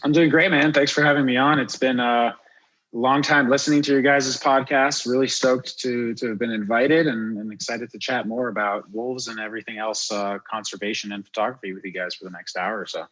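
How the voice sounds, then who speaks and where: A man's voice: medium pitch, fairly even intonation, a little fast, a bit bright, poor audio. A man from Miami, born in France, chatting informally and reflectively as an interview guest. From born in France, grew up in Miami, USA. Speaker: podcast guest, wildlife photographer and guide. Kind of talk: casual interview chat.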